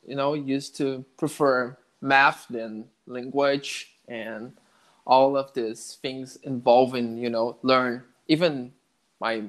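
Brazilian guy, late twenties, Portuguese accent, halting English, lots of "you know", mid-pitched voice. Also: steady tone, poor audio. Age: 20s. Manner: hesitant, many restarts and "you know" fillers. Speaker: guest, network engineer learning English. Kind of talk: casual interview.

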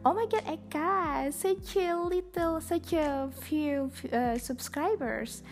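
A woman's voice: high voice, slow pace, faint music behind, pitched above the performer's own voice. A woman with an Indonesian accent voicing a playful, incredulous female interviewer who questions her. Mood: teasing.

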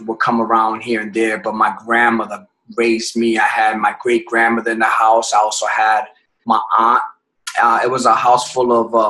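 A man from Puerto Rico, a podcast guest telling his life story in a reflective way, often pausing and restarting his phrases. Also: mid-range voice, sharp tone, rough recording.

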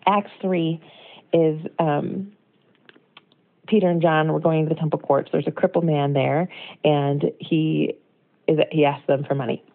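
A woman's voice: low-pitched, fairly even intonation, unhurried, long pauses. An American woman giving an informal, reflective and earnest devotional in a conversational way, recorded as a phone voicemail. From United States. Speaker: devotional speaker. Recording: tinny narrow-band phone voicemail.

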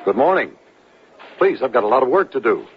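An actor playing a brisk, condescending government receptionist, officiously polite and dismissive, in a 1970s radio drama. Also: male voice, mid-range voice, very animated, natural voice. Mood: impatient. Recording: dull old radio broadcast, faint noise.

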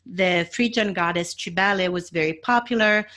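A woman's voice: on the low side, moderate pace, fairly bright tone. Woman with a Mediterranean accent, explaining. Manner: patient, explains step by step with fillers. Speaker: guest expert. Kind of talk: explanatory interview answers.

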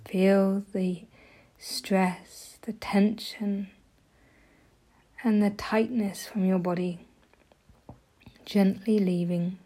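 A woman leading a guided meditation with soft, gentle, often breathy delivery, giving soothing instructions. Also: mid-range voice, flat delivery, drawn-out words, pauses often.

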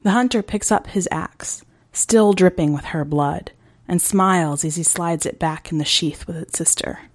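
A woman narrating a short story in a measured, dramatic way, as a podcast host reading scripted fiction. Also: lowish voice, no echo.

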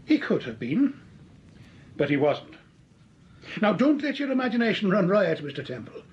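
A suave, mocking crook in a radio play. His voice sits high and bends a lot. The sound is dull and muffled. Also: big pitch swings, moderate pace, darkish tone. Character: smooth scheming male crook faking his death. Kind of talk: 1940s radio acting.

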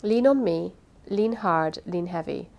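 Kindly little old uncle for an audiobook, played by a woman: gentle, coaxing, given to exclaiming. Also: on the low side, unhurried, creaky. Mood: soothing. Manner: gentle, coaxing, short repeated phrases. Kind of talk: audiobook character voice.

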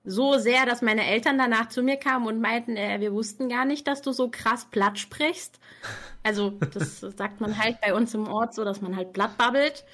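A young woman, an author and reading coach, chatting casually on a livestream from a warm room at home, talkative and quick to laugh at herself. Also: a little high. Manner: chatty, laughing often, self-mocking.

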